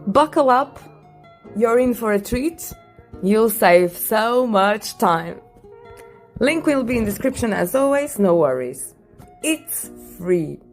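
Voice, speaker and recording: Female teacher-host, enthusiastic tutorial narration, soft music bed. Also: a little high, expressive, slow pace. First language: Portuguese. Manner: enthusiastic, upbeat. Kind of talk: software tutorial voice-over.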